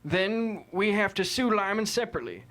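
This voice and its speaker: A proud, stubborn male cattleman acted by a man at a table read, blunt and folksy in manner. His voice runs slightly high for a man, in a scripted drama style. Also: animated, normal speed, a bit bright. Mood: indignant.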